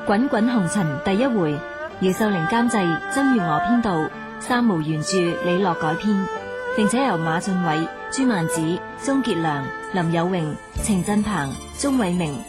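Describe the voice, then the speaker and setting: A woman's voice: on the low side, animated, normal speed. A woman with a Hong Kong Cantonese accent reading scripted radio drama credits as an announcer. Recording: loud music under the voice.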